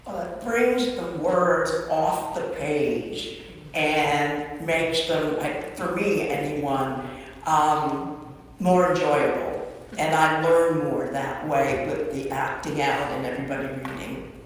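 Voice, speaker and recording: A man's voice: fairly high pitch, slow pace. A man offering an unscripted reflection to a group as a lay participant. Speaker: lay cast member. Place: church. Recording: very echoey church, distant mic, faint noise.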